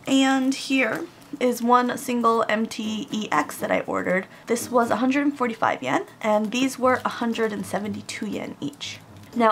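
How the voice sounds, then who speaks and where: Woman, craft vlogger, getting over a cold, chatty, gravelly voice, slightly high pitch, faint hiss. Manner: chatty, addresses viewers directly. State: recovering from a cold. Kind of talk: casual unboxing haul. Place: home room with air conditioning, in Japan.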